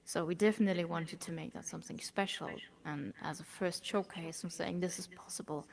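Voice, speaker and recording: German woman talking esports in an interview, lowish gravelly voice, drops to almost a whisper sometimes. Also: steady tone, fairly quick. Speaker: esports operations manager. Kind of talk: casual interview chat.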